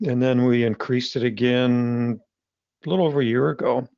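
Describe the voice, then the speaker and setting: A man's voice: medium pitch, fairly even intonation, darkish tone, no room sound, highs cut off. A man, a magazine publisher, talking casually in an interview, often restarting his sentences. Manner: halting, with frequent restarts.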